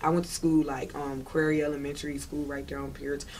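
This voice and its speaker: A woman's voice: low voice, flat delivery, quick pace, darkish tone. A woman with a Detroit accent chatting casually as an interview guest, talking in an emphatic, slangy way and often checking that the listener follows. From Detroit, United States.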